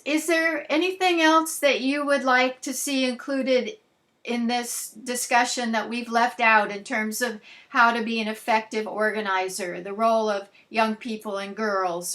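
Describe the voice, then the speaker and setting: A woman's voice: on the high side, fairly bright tone. A woman, asking questions conversationally as an interviewer.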